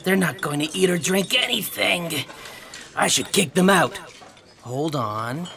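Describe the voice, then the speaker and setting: A performer playing a jaded restaurant server in sci-fi audio theatre, drawling and deadpan. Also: mid-range voice, unhurried, vocal fry, heavily acted. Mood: jaded, bored.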